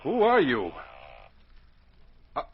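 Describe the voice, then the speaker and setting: An actor as a hearty, folksy announcer and narrator in 1940s radio style, addressing young listeners. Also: male voice, a little high, wildly swooping pitch, very slow, slightly rough, exaggerated voice. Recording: muffled, lo-fi 1940s radio recording.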